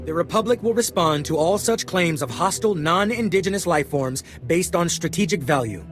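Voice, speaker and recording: An actor playing a curt, bureaucratic army officer in a video game, official and dismissive. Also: male voice, on the high side, expressive, fairly quick, faint music behind, close to an everyday voice.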